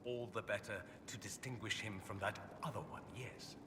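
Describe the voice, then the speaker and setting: An actor playing a witty, haughty mage from a fantasy empire, speaking with suave, theatrically arch sarcasm in a video game. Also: male voice, fairly low pitch, fairly even intonation, normal speed, distant mic, close to an everyday voice. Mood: sardonic, amused. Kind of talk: video game character dialogue. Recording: echoey hall, clear background ambience noise.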